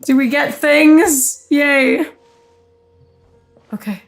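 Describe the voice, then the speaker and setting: A woman's voice: high-pitched, drawn-out words, faint music behind. A woman co-hosting a live game reaction stream, worried and emotional, with frequent exclamations. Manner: emotional, anxious exclamations and swearing.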